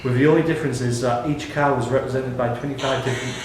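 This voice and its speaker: A man's voice: medium pitch, fairly even intonation, fast talker, noisy background. A man acting as quizmaster, reading out questions in a scripted, question-and-answer style. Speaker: quiz question reader and host. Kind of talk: reading quiz questions aloud. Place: roomy pub during a quiz tournament. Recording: echoey pub room with crowd chatter.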